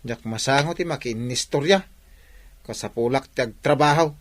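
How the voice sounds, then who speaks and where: A man's voice: mid-range voice, normal speed, lightly acted. A man acting in a radio drama as a hardworking villager who teases and chides his friend. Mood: scolding. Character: chiding village friend who praises hard work.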